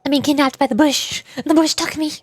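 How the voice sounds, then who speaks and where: Female game streamer, high-pitched, playful and rambling, casual livestream chat, very dry sound. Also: fairly even intonation, fairly quick, no echo. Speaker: streamer, VTuber. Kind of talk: casual gaming livestream chat with voiced dialogue.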